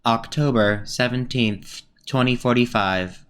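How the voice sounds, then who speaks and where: A man's voice: mid-range voice, unhurried, a bit bright, a little hiss or hum, close to an everyday voice. A man playing a formal, impersonal announcer reading log headers in clipped phrases in a radio play.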